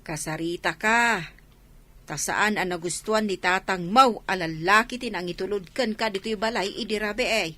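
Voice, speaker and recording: Actress playing a bright, worried Ilocano village mother. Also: mid-range voice, moderate pace, close to an everyday voice. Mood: concerned. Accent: Filipino, Ilocano. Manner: admonishing. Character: nagging but worried Filipino village mother. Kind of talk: Ilocano radio comedy drama acting.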